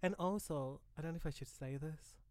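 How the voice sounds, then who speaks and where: A man's voice: fairly high pitch, fairly quick, heavy background noise. A man in his twenties chatting casually in a group, informal and opinionated, using plenty of slang.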